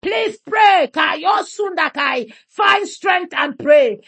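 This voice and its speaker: A woman leading a fervent prayer, shouting repeated declarations and speaking in tongues. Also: extremely high pitch, animated, slow. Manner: chanting, repetitive, declaring. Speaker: prayer leader.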